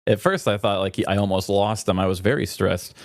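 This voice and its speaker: Low-voiced man chatting in a dry studio. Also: brisk, no room sound. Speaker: podcast co-host. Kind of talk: casual chat, telling anecdotes.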